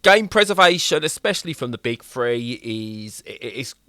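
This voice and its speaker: An English man co-hosting a gaming podcast, bantering in an animated, joking way with lots of quick restarts. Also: fairly high pitch, no echo, clean studio sound. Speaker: podcast co-host. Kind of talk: casual banter.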